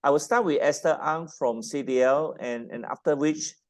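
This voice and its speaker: A man moderating a conference panel, speaking formally with occasional filler pauses. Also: a little high.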